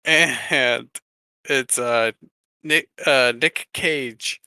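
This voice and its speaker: A man co-hosting a podcast in casual chat, talking haltingly with trailing asides. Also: mid-range voice, pushed and bright, clear, resonant tone, dry recording. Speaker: podcast co-host.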